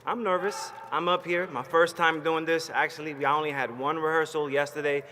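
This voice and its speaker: A man's voice: a little high, steady tone, fairly quick. Man, 30s, rousing commencement speaker, faint background hiss. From Puerto Rico. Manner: fired-up, rousing, plainspoken and personal. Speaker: commencement speaker, Marine veteran graduate. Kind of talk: motivational commencement speech. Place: large ceremony hall, from a stage. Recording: long hall reverb, faint background noise.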